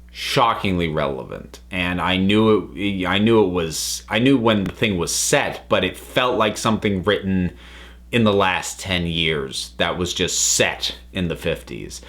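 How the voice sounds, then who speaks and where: A man with a fairly low voice gives a casual spoken review as the host of a channel. He phrases things thoughtfully and haltingly, often repeating a few words as he works toward his point. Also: fairly bright tone.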